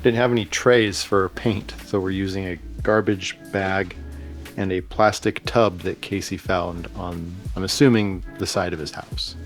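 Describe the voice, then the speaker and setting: A man's voice: sits low, fairly even intonation, measured pace. A man, a crew member, talking casually about a production in an interview. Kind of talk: casual behind-the-scenes interview. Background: music.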